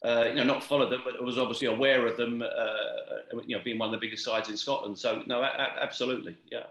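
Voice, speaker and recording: Bright-voiced middle-aged Londoner, echoey room. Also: male voice, mid-range voice, fairly even intonation, quick pace, muffled recording. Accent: London English. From east London, England. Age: late 40s to 50s. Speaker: interview guest, football club executive. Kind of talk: conversational interview answers.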